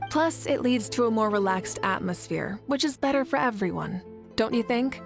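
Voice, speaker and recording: Video game warrior leader: confident, composed idealist, fairly high female voice, measured and articulate, music underneath. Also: animated, creaky. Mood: composed, earnest. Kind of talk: video game character dialogue.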